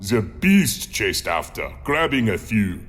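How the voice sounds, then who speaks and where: A man playing a monstrous man-eater, reciting a grim rhyming memoir in a slow, theatrical, deliberate manner with long reverb. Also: fairly low pitch, big pitch swings, fairly bright tone, slight background noise, exaggerated voice. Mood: grim relish. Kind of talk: theatrical rhymed storytelling.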